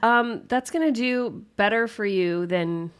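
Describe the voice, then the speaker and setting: A woman's voice: fairly high pitch, measured pace, clear, resonant tone. A young adult woman co-hosting a podcast, pregnant, speaking in a blunt, frank casual chat with wry asides. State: pregnant, late term. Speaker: podcast co-host.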